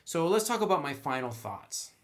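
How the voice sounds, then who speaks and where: A man's voice: fairly high pitch, sing-song, normal speed, faint music behind. A man giving a casual, opinionated review as a tabletop game reviewer, talking in an informal, conversational way.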